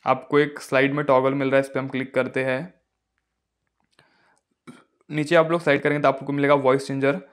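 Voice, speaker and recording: A man's voice: mid-range voice, little pitch movement, fairly quick, long pauses. A man, narrating a casual phone tutorial as its host.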